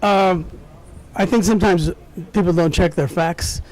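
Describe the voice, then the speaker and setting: A man's voice: sits high, a little slow, a little mellow, rough recording. A man in his sixties, born in Mexico and raised in the United States, casually recalling his life as an interview guest. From born in Mexico, grew up in the United States from early childhood. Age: 60s. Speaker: interview guest, former track runner. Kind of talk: casual personal recollection.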